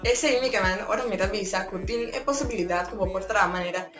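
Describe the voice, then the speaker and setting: A woman's voice: mid-range voice, fast talker, fairly bright tone. Chatty Papiamento-accented woman vlogger. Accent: Curaçao Papiamento. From Curaçao. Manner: chatty, switching between languages. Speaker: YouTuber. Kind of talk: casual explainer vlog. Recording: echoey room with faint music.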